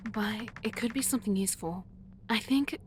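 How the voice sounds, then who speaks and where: A woman voicing a timid, careful spaceship crew member in a video game fan dub, soft-spoken and hesitant. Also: medium pitch, moderate pace, slight background noise, natural voice.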